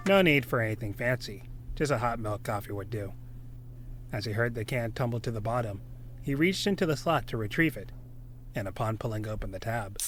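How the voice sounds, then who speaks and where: A man narrating a scripted story as a storyteller. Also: mid-range voice. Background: music.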